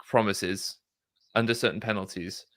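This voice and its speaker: A man co-hosting a video-call show, talking through church talks in a measured, hesitant, self-deprecating way. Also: on the low side, fairly even intonation, a bit bright, no room sound. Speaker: co-host presenting slides. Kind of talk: casual reflective commentary.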